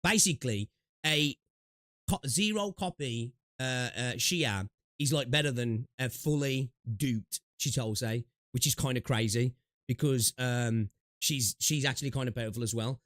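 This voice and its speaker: A man's voice: medium pitch, unhurried, a bit bright, dry recording, studio quality. A man streaming games, chatting loosely with restarts, fillers and swearing, sniffling from allergies. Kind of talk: casual gaming stream chat.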